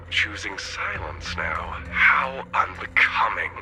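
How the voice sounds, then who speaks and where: An actor playing a condescending villainous handler in a video game, speaking in a measured, sardonic, formal way, often breathy. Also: male voice, on the low side, big pitch swings, full character voice. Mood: cold, sardonic. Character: coldly polite, condescending male villainous handler. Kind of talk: dramatic video game voice acting. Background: music.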